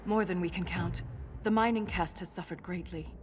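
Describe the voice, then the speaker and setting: A woman acts out an earnest dwarven scholar in a fantasy game. Her voice sits on the low side, formal and measured, every detail precise. Music plays clearly behind her. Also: steady tone, close to an everyday voice. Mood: grave, concerned. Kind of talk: video game cutscene acting.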